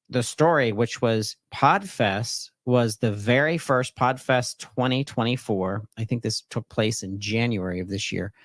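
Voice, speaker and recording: Male podcast host, mid-range pitch, upbeat promotional interview style, very little room sound. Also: fairly bright tone, no echo, studio quality.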